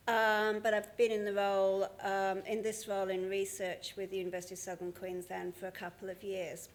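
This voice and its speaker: A woman presenting at a conference, speaking with many pauses, ums and restarts. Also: medium pitch. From Australia. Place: conference hall.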